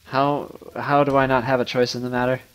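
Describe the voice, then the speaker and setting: A man's voice: mid-range voice, little pitch movement, brisk, fairly bright tone. A young man hosting a gameplay video, chatting casually with playful exasperation. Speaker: gaming video host. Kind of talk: casual gameplay commentary and banter.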